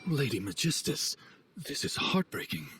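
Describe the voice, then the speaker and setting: A man's voice: mid-range voice, big pitch swings, normal speed, natural voice. A man acts a courtly butler-like familiar in a fantasy game. He speaks formally and with deference, his stately voice rising and falling widely over faint music. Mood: sympathetic. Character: courtly, dignified male familiar, dutiful butler-like servant. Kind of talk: video game cutscene acting.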